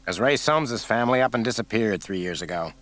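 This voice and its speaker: A man voicing a cold, smug small-town male coroner with a slow, folksy way of talking. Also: lowish voice, expressive, fairly bright tone.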